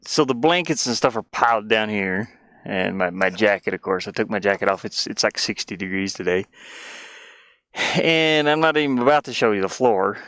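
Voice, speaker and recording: A man's voice: on the low side, moderate pace, fairly bright tone, no echo. Scattered, casual man streaming, dry close sound. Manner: scattered, self-deprecating. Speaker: live streamer. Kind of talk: casual rambling chat. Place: inside a small cluttered van.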